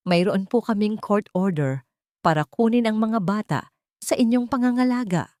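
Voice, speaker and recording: A woman's voice: mid-range voice, animated, normal speed, darkish tone, no echo, studio quality, close to an everyday voice. Woman voicing a stern, formal welfare officer. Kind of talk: Tagalog audiobook drama.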